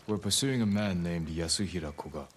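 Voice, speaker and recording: An actor playing a stern, resolute samurai lord in a video game, terse and grave. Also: male voice, low-pitched, brisk, soft background music, close to an everyday voice. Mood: grave, questioning. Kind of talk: dramatic game cutscene acting. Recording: noisy outdoor rain ambience.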